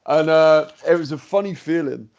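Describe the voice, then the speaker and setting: A man's voice: a little high, moderate pace, a little mellow, muffled recording. A young man from England with a southern English accent, hosting a podcast in a joking, casual way with stumbling restarts. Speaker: podcast host, actor. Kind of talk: casual chat.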